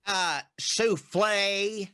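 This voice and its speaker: An actor voicing a fussy, cowardly elderly frog grandpa in an animated cartoon. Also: male voice, sits high, drawn-out words, smooth, exaggerated voice. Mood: folksy. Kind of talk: animated cartoon table read.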